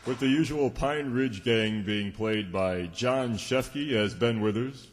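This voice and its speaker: A man's voice: medium pitch, takes it slowly. A man announcing credits genially from a script in old-time radio style to a live audience. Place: township hall stage. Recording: echoey hall, clear background noise.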